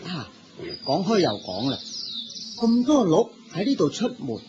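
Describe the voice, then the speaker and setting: A man's voice: high voice, big pitch swings, a little slow, music in the background. A man playing a cheerful, simple-hearted older villager in a radio drama, eager and inquisitive. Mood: curious. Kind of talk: Cantonese radio drama acting. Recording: dull radio recording with faint noise.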